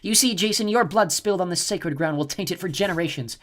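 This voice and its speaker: A cold, scheming snow goddess with a quiet, purring voice, as a man acts her out. She gloats over her enemies in a storybook reading, pitched higher than the narrator's own voice. Her words are gentle in sound and icy in intent. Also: fast talker. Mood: icy gloating.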